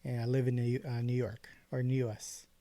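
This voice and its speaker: A man in his late thirties, co-hosting a casual podcast in a relaxed, agreeable way with short replies. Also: medium pitch, a little fast, no room sound. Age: 30s. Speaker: podcast co-host. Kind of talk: casual chat.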